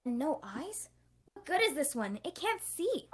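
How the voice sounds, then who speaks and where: A high-voiced, bratty ten-year-old cartoon girl, acted by a woman in a table read. She is teasing and sharp-tongued, a cousin who loves to needle. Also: very animated, a little slow, background noise. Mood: disgusted, mocking.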